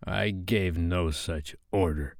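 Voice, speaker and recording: A man voicing a proud, villainous pirate captain in a storybook, with grandiose, theatrical, drawn-out delivery. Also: mid-range voice, big pitch swings, smooth, no echo, studio quality. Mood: shaken. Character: proud male pirate captain, blustering villain turning shaken.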